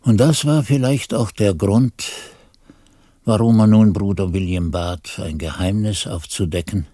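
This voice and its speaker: A reflective monk narrating a radio drama. He speaks slowly and gravely in a low, husky voice, with measured literary phrasing. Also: dark tone, dry recording, clean studio sound. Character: reflective monk narrator recalling his days as novice. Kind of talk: scripted first-person narration in radio play.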